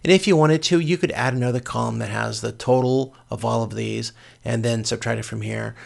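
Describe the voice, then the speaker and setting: A man giving an informal, step-by-step spreadsheet lecture as a course instructor, with a gravelly, creaky voice. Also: medium pitch, a little fast. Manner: explains step by step in plain informal words.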